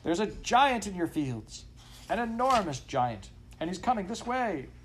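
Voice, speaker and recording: A man voicing a frantic, cheeky farm dog in a storybook, yammering excitably on a noisy recording. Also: high-pitched, moderate pace, pitched above the performer's own voice. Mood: urgent warning. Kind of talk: storybook character voice.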